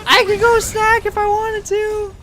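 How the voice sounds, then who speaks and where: A game streamer doing casual, excitable live commentary in slangy talk peppered with bro and dude. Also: very high voice, unhurried, a crowd in the background.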